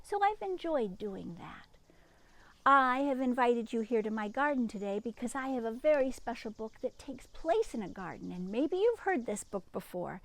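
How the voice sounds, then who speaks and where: A woman reading a children's story aloud in an expressive, teacherly way, with chatty asides and questions to young listeners. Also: fairly high pitch, normal speed, dry recording. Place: outdoors in a garden.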